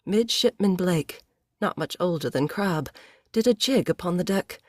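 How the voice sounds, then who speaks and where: A woman's voice: fairly low pitch, a little mellow, dry recording, clean studio sound. A woman reading a novel aloud in audiobook-style scripted narration.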